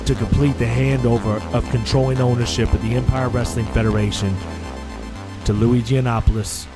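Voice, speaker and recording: A man's voice: mid-range voice, steady tone, moderate pace, natural voice. An actor playing an anxious, deferential finance man in an audio drama, speaking formally and apologetically. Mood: nervous, grave. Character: anxious, deferential male finance man reporting bad news. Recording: loud music behind the voice.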